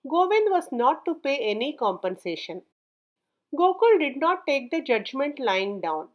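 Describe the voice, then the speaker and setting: Woman, very high voice, storybook narrator, scripted read-aloud, measured and clearly enunciated. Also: sing-song.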